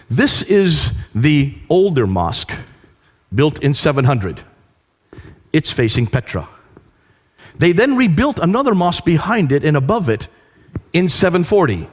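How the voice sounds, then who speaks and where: Animated male lecturer in a reverberant hall. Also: a little high. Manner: emphatic and declarative, repeating key points. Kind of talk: illustrated public lecture.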